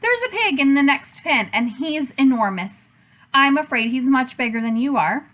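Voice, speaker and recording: A woman voicing a clever, polite, aging female spider with a measured, dry manner in a children's storybook. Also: high-pitched, animated, a bit bright, poor audio.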